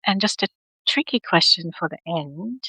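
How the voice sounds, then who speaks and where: A woman's voice: fairly low pitch, animated, moderate pace, bright, forceful tone, husky, no echo, poor audio. A woman with an Australian accent hosting a podcast interview, friendly and laughing often. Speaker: podcast host. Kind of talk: casual interview chat.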